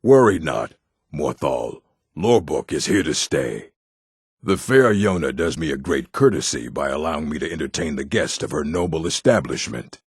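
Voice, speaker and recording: An actor playing a gruff adult male orc from a fantasy stronghold in a video game, with a gravelly, husky voice and a blunt, weighty delivery. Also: extremely low pitch, lively intonation, moderate pace, no room sound, clean studio sound, full character voice. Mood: jovial, theatrical.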